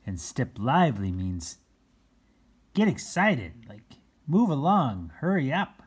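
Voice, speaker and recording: A children's read-aloud host with a husky voice, reading a picture book and adding playful exclamations and explanations for young listeners. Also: mid-range voice, sing-song, slow pace. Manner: exclaims, gasps, chuckles and explains words to children.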